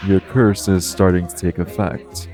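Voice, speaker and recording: A man playing a calm, protective guardian angel in an audio roleplay, speaking in a formal, measured, earnest way. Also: low voice, over music. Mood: solemn, explaining. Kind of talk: audio roleplay acting, addressed to the listener.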